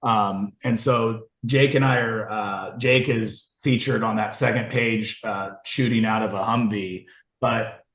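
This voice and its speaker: A man's voice: lowish voice, steady tone, measured pace, fairly bright tone, echoey room, poor audio. A man presenting to a committee on a video call, talking casually and rambling with many ums and wry asides. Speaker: committee member presenting a supplier.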